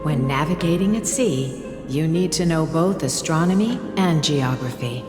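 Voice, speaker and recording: A gentle, motherly woman from a fantasy game, speaks in a low, soothing voice with doting affection. Her words carry a long, echoing reverb, and music plays clearly behind them. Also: animated, moderate pace. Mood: tender storytelling. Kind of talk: game voice acting, storytelling.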